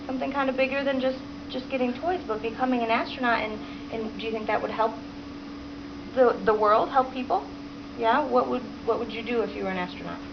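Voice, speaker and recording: A woman's voice: on the high side, steady tone, brisk. Woman reading to children, muffled noisy recording. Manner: encouraging, repeats and expands children's answers, frequent checking questions. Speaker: teacher modeling a read-aloud to children. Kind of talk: reading a picture book aloud and asking children questions. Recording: dull, lo-fi home recording with clear background noise.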